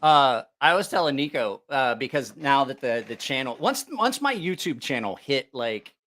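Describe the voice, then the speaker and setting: A man's voice: mid-range voice, big pitch swings, normal speed, fairly bright tone. A man hosting a casual livestream, rambling and repeating himself, with plenty of swearing. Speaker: YouTube knife channel host.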